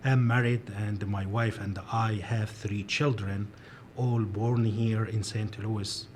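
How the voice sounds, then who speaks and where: A man in his forties, born and raised in Egypt, answering interview questions as a professor in a measured, careful way with frequent fillers. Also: on the low side, steady tone, slight background noise. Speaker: professor, guest.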